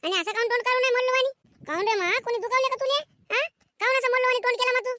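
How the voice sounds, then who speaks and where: A performer voicing an indulgent, quarrelsome village mother in a comedic cartoon, sharp-tongued, with a rural Maharashtrian Marathi accent. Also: very high-pitched, rapid-fire, very noisy. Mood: doting. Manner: scolding husband, coddling son. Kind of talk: comedic cartoon skit dialogue.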